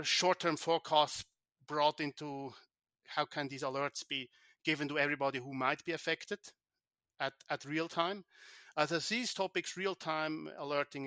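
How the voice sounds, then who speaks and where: A man from Switzerland, an insurance tech founder, answering podcast questions in a halting way with many restarts and repeated words. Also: medium pitch, pushed and bright, no room sound.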